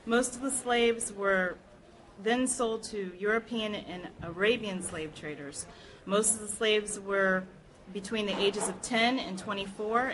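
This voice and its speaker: Woman reading history aloud, measured and earnest. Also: medium pitch, fairly bright tone, poor audio. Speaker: reader at a public library program.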